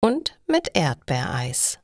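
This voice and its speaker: A woman's voice: a bass voice, wildly swooping pitch, takes it slowly, a little mellow, no echo, studio quality. A woman reading scripted, simple stories for language learners in careful short sentences.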